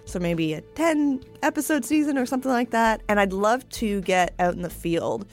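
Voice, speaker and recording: A woman's voice: mid-range voice, animated, moderate pace, soft background music. A woman with a Canadian accent, hosting a podcast and chatting casually with her co-host. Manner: chatty, laughs easily. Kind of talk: casual chat.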